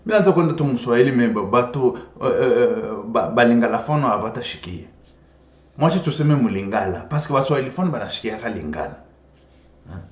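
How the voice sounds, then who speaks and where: A man with a Congolese French accent and Swahili as his first language talks through politics in a lively, swooping voice. He tells stories, circles back to repeat his points and hops between languages. The recording sounds roomy and echoey. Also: mid-range voice, normal speed. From Democratic Republic of the Congo. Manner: animated storyteller, repeats phrases, switches languages. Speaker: political commentator. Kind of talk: impassioned political monologue. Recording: echoey room.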